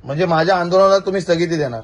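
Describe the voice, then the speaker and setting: A man's voice: high voice, racing. A man with a Marathi accent from Maharashtra, speaking deferentially and putting questions in an informal phone call. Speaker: activist.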